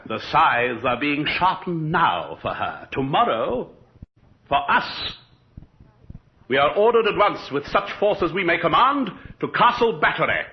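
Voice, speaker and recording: An actor playing a stern, urgent military nobleman in a radio horror drama, with formal, declamatory speech. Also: a little high. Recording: dull, noisy old radio recording.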